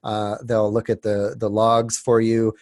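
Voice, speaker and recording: A man's voice: lowish voice, completely flat delivery, measured pace, a little mellow, no echo, studio quality. A man presenting a webinar, stuffy and sniffling from a cold, talking casually with many fillers and restarts. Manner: filler-heavy, frequent restarts and repeated words.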